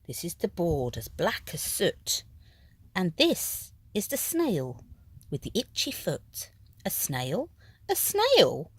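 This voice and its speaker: A woman reading a rhyming children's picture book aloud in a dramatic way, at times dropping to a hush and drawing words out. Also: lowish voice, huge pitch swings, husky. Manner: dramatic, with hushed whispered phrases and drawn-out words. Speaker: storybook reader. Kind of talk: expressive children's picture-book narration in rhyme.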